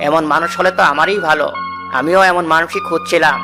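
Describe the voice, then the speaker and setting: A man's voice: on the high side, steady tone, quick pace, close to an everyday voice. A man voicing a wealthy, folksy, somewhat patronising village landlord in a fairy-tale cartoon. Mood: genial, accommodating. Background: music.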